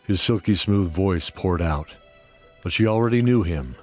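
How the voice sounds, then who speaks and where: A man narrating a scripted fantasy audio drama in a measured, descriptive storytelling manner. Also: deep voice, lively intonation. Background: music.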